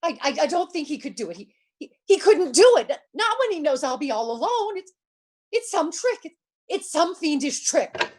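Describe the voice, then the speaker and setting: A woman's voice: high voice, sing-song, normal speed. A woman playing an anxious, demanding, self-pitying invalid wife in old-time radio suspense style, stammering nervously and repeating herself. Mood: panicked, distraught.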